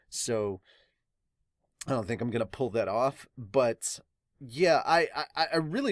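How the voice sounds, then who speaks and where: A man's voice: mid-range voice, dry recording. Casual man reviewing, dry close sound. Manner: excitable, rambling, laughs often. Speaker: host of a manga review channel. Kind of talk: casual reaction and review talk.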